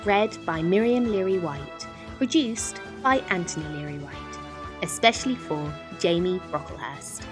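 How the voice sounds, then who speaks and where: A woman reading a rhyming bedtime story with dramatic, deliberate, rhythmic expression. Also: lowish voice, animated, music in the background.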